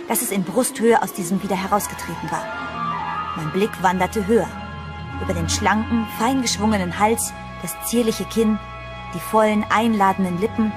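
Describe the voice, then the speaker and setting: Actress as anxious horror heroine, music underneath. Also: female voice, medium pitch, natural voice. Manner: dramatic, suspenseful storytelling. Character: anxious woman narrating her own horror story. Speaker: narrator and lead actress of a horror radio play. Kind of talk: German horror audio drama, scripted first-person narration. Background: prominent music.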